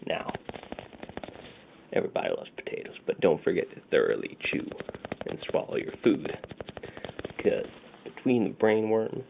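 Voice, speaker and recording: Low, gravelly man doing soft ASMR. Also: wildly swooping pitch, noisy background. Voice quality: breathy, often whispered, gravelly. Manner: unhurried, reassuring, playing a friendly dealer. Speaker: ASMR performer. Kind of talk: soft-spoken ASMR roleplay.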